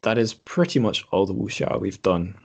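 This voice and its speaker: A man's voice: fairly low pitch, expressive, moderate pace. A man hosting a podcast in casual, unscripted chat, hesitant and correcting himself as he thinks aloud. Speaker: podcast host. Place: room in a home.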